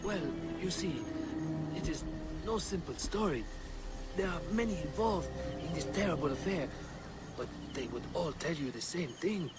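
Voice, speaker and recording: A leisurely, smooth-talking merchant played by a male actor with a raised pitch. He is courteous and slippery, philosophizing in a game scene while music plays loudly behind. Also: animated, normal speed, lightly acted. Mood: smooth, evasive. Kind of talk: dramatic video game acting.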